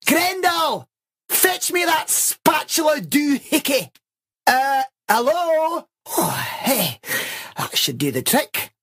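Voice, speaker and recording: A man voicing a bossy, excitable male inventor in a cartoon, hollering frantically. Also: very high-pitched, takes it slowly, pauses often, heavily acted. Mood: frantic, bossy. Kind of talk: cartoon character acting.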